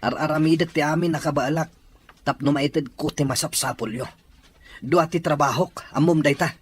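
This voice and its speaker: A man's voice: on the high side, steady tone, moderate pace, breathy edge, no echo. A man playing a hardworking, exasperated husband and father in an audio drama, sounding weary and defensive. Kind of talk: amateur audio drama dialogue.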